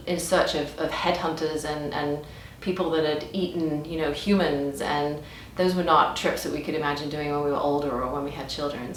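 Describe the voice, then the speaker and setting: A middle-aged English woman telling travel stories in a chatty, animated way with many restarts. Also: deep voice. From England. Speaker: podcast guest. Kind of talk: casual conversational storytelling. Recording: roomy, distant mic with faint background noise.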